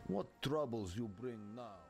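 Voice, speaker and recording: Gruff wary tribesman for a game, low and really slow, terse, music behind. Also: big pitch swings. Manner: terse, simple phrasing. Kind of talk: video game character acting.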